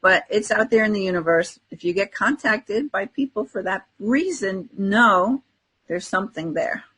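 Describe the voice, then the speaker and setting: A woman's voice: mid-range voice, expressive, normal speed, a bit bright, slightly rough. A woman talking in an encouraging, affirming way, as in spiritual readings and casual talk show chat. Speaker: spiritual guest co-host giving card readings.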